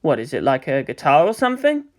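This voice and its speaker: A woman's voice: low-pitched, huge pitch swings, quick pace. A woman voicing a thoughtful, world-weary teenage boy in a storybook reading. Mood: guarded, self-deprecating.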